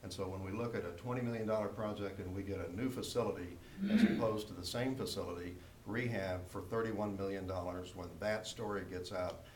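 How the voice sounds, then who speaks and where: A man's voice: sits low, fairly even intonation, brisk, hardly any pauses, darkish tone. An American man making unscripted remarks as a council member at a public meeting. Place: indoor council chamber. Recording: echoey noisy room, distant microphone.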